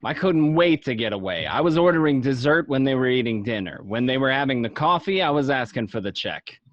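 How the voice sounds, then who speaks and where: A man's voice: medium pitch, expressive, fairly quick, natural voice. Casual young mobster played by a man, muffled. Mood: restless. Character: restless young male mobster narrating his past. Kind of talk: dramatic table read. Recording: dull lo-fi home call audio.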